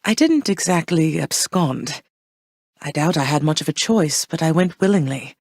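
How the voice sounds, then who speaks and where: Persephone: tender, measured underworld queen and mother, slightly low voice, dry studio read. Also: lively intonation, a little mellow, husky, dry recording, full character voice. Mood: wistful. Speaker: game character. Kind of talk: game voice lines.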